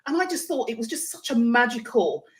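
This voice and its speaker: A woman's voice: a little high, sing-song, brisk. A woman hosting a live online interview show, speaking in a chatty, effusive and encouraging way. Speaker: show host.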